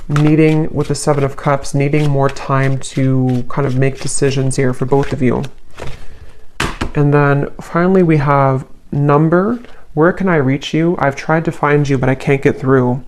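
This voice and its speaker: A woman reads tarot in a casual, intuitive style. Her pitch stays very level and flat. She thinks aloud as she goes, halting and hedging as she interprets. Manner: halting, musing, hedging delivery. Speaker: tarot reader, channel host.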